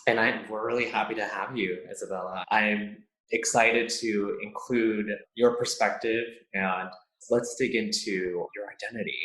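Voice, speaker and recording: A young American man hosts a podcast interview in a friendly way, asking questions between stretches of talk. His voice sits a bit low. The sound carries a noticeable room echo. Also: fairly even intonation, moderate pace, a bit bright. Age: young adult. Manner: friendly, with scripted intro. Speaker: podcast host. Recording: echoey room.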